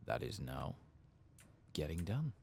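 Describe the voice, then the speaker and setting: A soft-spoken man doing ASMR-style game commentary, muttering casually to himself as he thinks aloud and sometimes dropping into a whisper. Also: extremely low pitch, sing-song, takes it slowly, creaky, slight background noise. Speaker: gaming video host.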